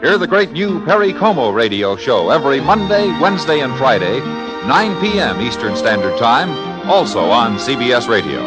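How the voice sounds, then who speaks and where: A man's voice: medium pitch, very animated, normal speed. An American man announcing in a 1950s radio promo style, with music playing behind him. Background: loud guitar music.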